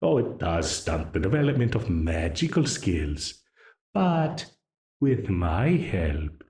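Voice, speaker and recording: Brief: male actor as a smug, menacing demon in an audio roleplay, very slow, swooping pitch, roomy echo. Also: very animated, a little mellow, heavily acted. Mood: menacing, mocking. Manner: slow, taunting, with frequent laughs.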